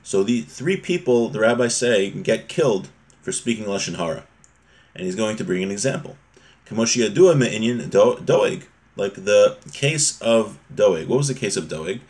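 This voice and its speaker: A man's voice: on the low side, animated, normal speed, darkish tone. A man, around his thirties or forties, giving an informal religious lesson, reading and explaining, often rephrasing his points. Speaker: religious teacher. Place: small home room, indoors.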